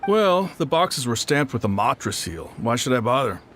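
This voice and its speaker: A man's voice: mid-range voice, very animated, moderate pace, fairly bright tone, a little hiss or hum. A man playing a chatty, defensive gate worker in a video game, talking conversationally as if unbothered.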